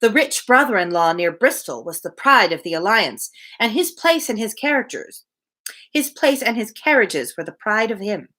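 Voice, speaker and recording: Animated woman reading a novel aloud. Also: mid-range voice, moderate pace. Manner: playful, animated. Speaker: reader, livestream host. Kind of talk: live novel reading with casual commentary.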